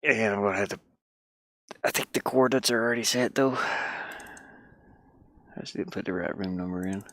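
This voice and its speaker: A man thinking aloud in a casual, halting mutter as he works on a game at his computer, talking mostly to himself. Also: on the low side, a bit bright. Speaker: hobby game developer. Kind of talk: casual think-aloud screen-recording commentary.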